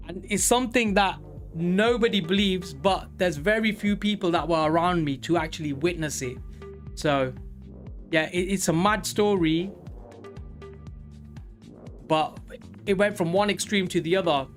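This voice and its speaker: A man of forty talking casually and candidly on a live trading stream, rambling with many pauses. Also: high voice, faint music behind. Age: 40s. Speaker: livestream day trader.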